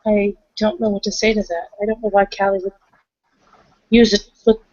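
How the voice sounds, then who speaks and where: A woman's voice: medium pitch, little pitch movement, normal speed, darkish tone, dry recording. Casual woman gamer, dry muffled audio. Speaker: tabletop roleplay player. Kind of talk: casual roleplay chat. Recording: dull lo-fi audio.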